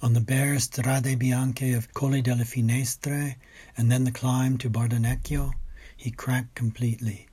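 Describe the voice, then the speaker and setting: A man's voice: mid-range voice, flat delivery, measured pace, warm tone, breathy edge. A man reading a scripted sports report in a literary, dramatic storytelling manner. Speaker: food, wine and travel writer. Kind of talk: scripted narration of cycling race report.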